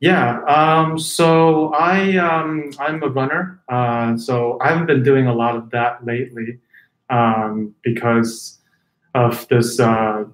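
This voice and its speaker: A man's voice: medium pitch, steady tone, takes it slowly. Man from China in an echoey room. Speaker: interviewee, tech company executive. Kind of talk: casual interview chat. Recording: roomy, echoing sound.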